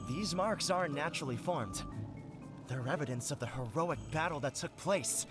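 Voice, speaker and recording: An actor playing a pompous, theatrical would-be novelist in a video game, with florid, melodramatic delivery. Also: male voice, fairly high pitch, expressive, moderate pace, lightly acted. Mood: grandiose. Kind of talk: video game character dialogue. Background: game music.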